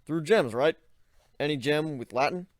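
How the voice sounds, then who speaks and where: A man's voice: a little high, normal speed, no room sound, studio quality, natural voice. A man voicing an eager young male zebra learning magic, in a storybook reading.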